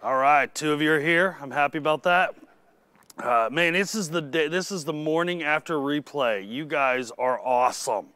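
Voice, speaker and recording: A middle-aged man who is losing his voice gives a technical conference talk, clearing his throat and cracking self-deprecating jokes with the audience. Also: fairly high pitch, moderate pace, pushed and bright, dry recording. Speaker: conference speaker.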